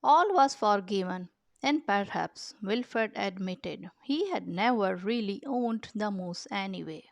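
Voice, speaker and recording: A woman reading a children's picture book aloud with measured, expressive storytelling delivery. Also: mid-range voice, creaky, no room sound. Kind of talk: storybook read-aloud narration.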